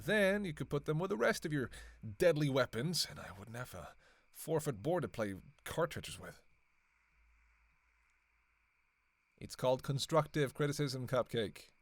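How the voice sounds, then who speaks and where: A man's voice: a little high, dry recording. A man playing a sarcastic, teasing vampire in a casual roleplay monologue, needling playfully and hesitating as he goes. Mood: sardonic nitpicking. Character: sarcastic, teasing male vampire on a road trip.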